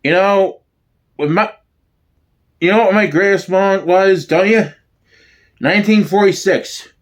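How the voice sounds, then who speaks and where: A man's voice: high-pitched, unhurried. A man performing an older father in a sitcom reading, boastful and grumbling.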